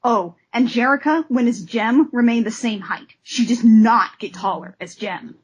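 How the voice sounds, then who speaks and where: A woman podcast co-host with a fairly high voice that has a husky edge. She talks about comics expressively and gets theatrical when reading aloud. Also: unhurried. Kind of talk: comic discussion podcast with dramatic reading of letters.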